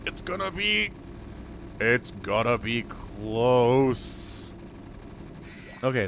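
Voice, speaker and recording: A man calling a live strategy game match solo, his voice a little hoarse and stuffy from a cold, swinging from calm analysis to loud exclamations. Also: fairly high pitch, slight background noise. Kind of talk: live esports play-by-play commentary.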